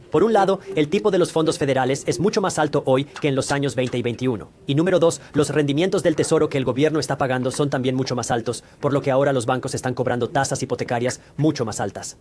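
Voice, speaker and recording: Very fast-talking man explaining things. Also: medium pitch, darkish tone. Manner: didactic, explains step by step with examples. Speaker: host of a personal finance explainer. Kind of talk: scripted educational narration, addressing the viewer directly.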